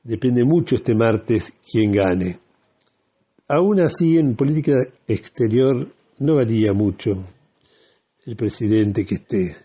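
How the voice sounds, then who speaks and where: An Argentine man hosting a radio talk show, giving opinionated news commentary in a deliberate, emphatic way with pauses between phrases and a husky voice. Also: medium pitch, lively intonation, mellow, dull audio. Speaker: radio host.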